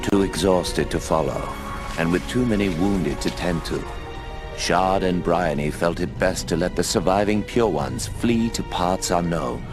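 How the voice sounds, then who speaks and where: A man narrating a game story in a measured, poetic way, over game music. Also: low-pitched.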